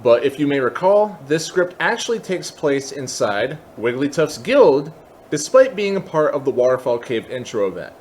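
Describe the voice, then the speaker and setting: A man's voice: on the high side, big pitch swings, moderate pace, a little mellow. Methodical man giving a tutorial, noisy recording. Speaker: tutorial host. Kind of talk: explanatory software tutorial, semi-scripted.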